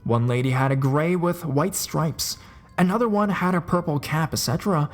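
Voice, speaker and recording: A man telling scary stories in a scripted narration, dropping his voice low and slowing down for suspense. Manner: dramatic, drops low and slows for suspense. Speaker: horror story narrator. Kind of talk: scripted horror story narration. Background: faint music.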